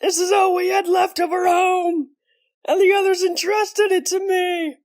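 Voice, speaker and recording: A woman's voice: very high voice, little pitch movement, a little slow, darkish tone, raised voice. A woman voicing a plucky, earnest female pirate pony with salty sailor talk in a cartoon comic dub. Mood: distraught. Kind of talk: animated comic dub voice acting.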